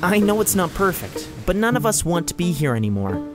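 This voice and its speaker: A man's voice: high voice, big pitch swings, fairly quick. An actor playing an earnest, homesick male castaway who builds rafts in a fantasy audio drama, explaining hopefully. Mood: pleading, fed up. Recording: plucked-string music bed.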